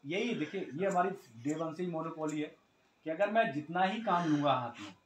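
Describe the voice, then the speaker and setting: A man's voice: on the high side, expressive, normal speed, slight background noise. A young man with a Hindi accent from Uttar Pradesh shows sofas in his workshop, explaining politely and at length. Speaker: sofa maker showing his work. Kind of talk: casual showroom talk to camera. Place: cluttered indoor workshop.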